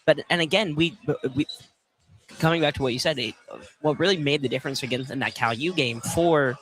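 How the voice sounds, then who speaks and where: A man's voice: on the high side, a little fast, fairly bright tone, a little hiss or hum, poor audio. A young American man giving casual live sports analysis as a co-commentator, conversational and hesitant, correcting himself. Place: live courtside broadcast in a college field house.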